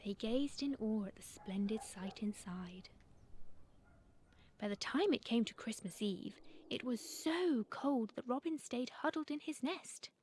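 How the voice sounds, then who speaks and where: Higher-voiced woman reading a children's story. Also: expressive, unhurried, darkish tone, a little hiss or hum. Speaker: storyteller and author. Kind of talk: scripted children's story narration.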